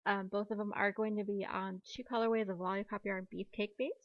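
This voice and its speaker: A woman's voice: mid-range voice, flat delivery, dry recording. Chatty woman podcaster, dry muffled sound. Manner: chatty, quick, apologetic asides. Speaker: podcast host. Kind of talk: casual solo podcast chat.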